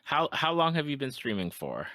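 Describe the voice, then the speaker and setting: A man's voice: a little high, big pitch swings, moderate pace, bright, forceful tone, no echo. A man hosting a podcast, asking friendly questions with stumbling restarts. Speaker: podcast host. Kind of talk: casual interview.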